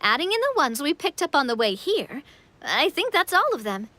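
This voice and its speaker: Sunny young king, high lively voice, bright tone, soft music underneath. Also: normal speed, dry recording, full character voice. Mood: cheerful. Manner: upbeat, friendly, reassuring. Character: cheerful young king of a storybook city. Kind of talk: game voice acting.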